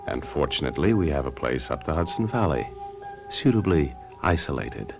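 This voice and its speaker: An actor playing a charming, persuasive husband in a radio drama, with a smooth, playfully gallant manner. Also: male voice, extremely low pitch, big pitch swings, normal speed, faint music behind, full character voice. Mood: scheming narration. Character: charming, persuasive, spendthrift husband wheedling his actress wife.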